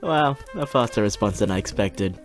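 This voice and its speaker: A man's voice: fairly low pitch, animated, normal speed, faint music behind. A man playing a flirty, teasing demon who reports and hosts in a fiction podcast. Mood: sultry, playful. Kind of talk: fiction podcast banter and monologue.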